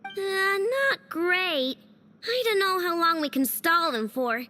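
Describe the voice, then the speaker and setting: An actress voicing a small, excitable companion character in a game, with a very high, lively delivery. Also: female voice, slow, faint music behind, heavily acted. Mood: puzzled.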